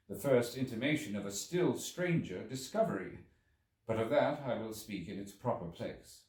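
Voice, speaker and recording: A man reading a literary story aloud as an audiobook narrator, with an expressive, measured, theatrical delivery and deliberate pauses. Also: medium pitch. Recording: roomy echo, distant from microphone.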